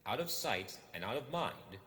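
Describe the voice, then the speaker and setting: A man narrating a storybook expressively, in a measured, dramatic delivery with deliberate pauses. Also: medium pitch, big pitch swings, bright, forceful tone. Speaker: audiobook reader. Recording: roomy echo with long reverb.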